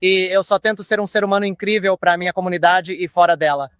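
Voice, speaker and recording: Man from new orleans, interviewee, calm, reflective, highish voice, dry sound. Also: fast, smooth, no room sound. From New Orleans, USA. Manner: calm, reflective, uses many fillers.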